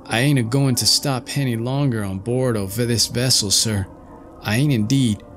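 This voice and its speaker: A man's voice: medium pitch, moderate pace, a bit bright, soft background music, pitched above the performer's own voice, natural voice. A man voicing a nervous, fawning male ship's steward with a stammering, wheedling manner. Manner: stammering, wheedling, dropped aitches.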